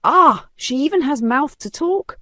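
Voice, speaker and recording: A storyteller voicing a haughty, vicious rich woman of thirty who snaps and shouts insults. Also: medium pitch, big pitch swings, normal speed, dry recording, clean studio sound, pitched above the performer's own voice. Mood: scornful.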